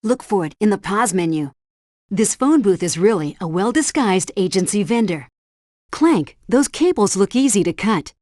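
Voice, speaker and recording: A woman's voice: mid-range voice, expressive, normal speed, darkish tone, no echo, clean studio sound, close to an everyday voice. A woman playing a composed, helpful spy agency helpdesk operator in a video game, giving scripted instructions in a precise briefing style. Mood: composed, instructive. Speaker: mission helpdesk guide. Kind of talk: scripted game tutorial narration.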